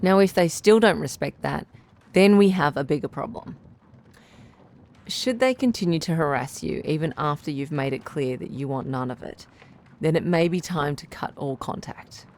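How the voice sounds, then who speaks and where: A woman playing a caring, composed radio relationship doctor who gives advice in a measured, articulate, reassuring way. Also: deep voice, darkish tone. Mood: calm, advising. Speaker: radio advice show host. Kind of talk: radio drama advice-show acting.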